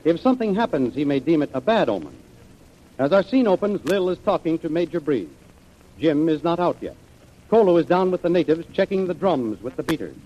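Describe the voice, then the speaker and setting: A man's voice: fairly high pitch, sing-song, a little fast. A man announcing scene-setting narration in the style of an old radio serial. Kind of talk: 1930s radio scripted narration. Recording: muffled old radio recording.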